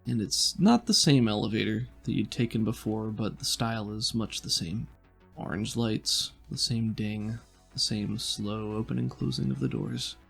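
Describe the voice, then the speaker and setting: Male game master: mid-pitched, heavy vocal fry, descriptive tabletop narration over faint music. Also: moderate pace.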